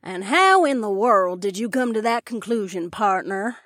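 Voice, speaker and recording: A woman's voice: medium pitch, expressive, moderate pace, a bit bright, no room sound, studio quality, lightly acted. A woman playing a severe, smirking female outlaw leader in an audiobook, with a cocky, mocking drawl. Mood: mocking, amused. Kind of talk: audiobook character dialogue.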